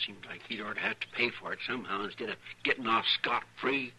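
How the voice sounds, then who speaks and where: An actor playing a loyal, plain-spoken American frontier sidekick, folksy and musing, in an old radio western. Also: male voice, on the low side, fast talker, bright, forceful tone, husky, heavily acted. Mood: troubled musing. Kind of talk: 1950s radio western acting. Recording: old radio drama recording.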